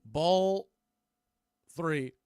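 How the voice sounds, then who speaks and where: A man's voice: on the high side, extremely slow, a little mellow, smooth, dry recording, studio quality. A man doing live baseball play-by-play as announcer and host, conversational and opinionated.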